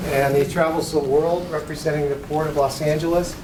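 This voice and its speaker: A man's voice: fairly high pitch, fairly even intonation, brisk. A man hosting a workshop and introducing the next speaker in an informal, upbeat way. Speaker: event host introducing speakers. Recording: echoey room, far from the mic, loud noise.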